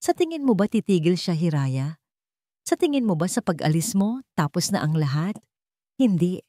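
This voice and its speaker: A woman's voice: on the low side, sing-song, normal speed, warm tone, dry recording, studio quality. A woman with a Filipino accent voicing a determined, protective male doctor in dramatic audio story dialogue, intense and pleading. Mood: firm pleading.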